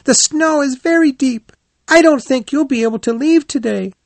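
A man's voice: very high voice, moderate pace, creaky, no echo, pitched above the performer's own voice. A man voicing a polite, earnest young hedgehog child in a storybook, eager and deferential.

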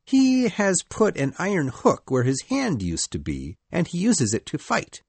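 A woman's voice: lowish voice, huge pitch swings, a little slow, creaky, darkish tone, breathy edge, dry recording, exaggerated voice. A woman reading aloud, voicing a boastful, fearless young boy leader in a matter-of-fact, cocky way.

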